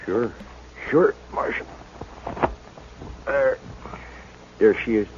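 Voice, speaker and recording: A sick prairie homesteader in an old radio western, weak and bitter. He talks in a pained, rough country drawl. The broadcast is muffled, with a faint hiss. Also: on the low side, extremely slow. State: ill and weak. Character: injured prairie homesteader father, bitter, gangrenous leg. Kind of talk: 1950s radio drama acting.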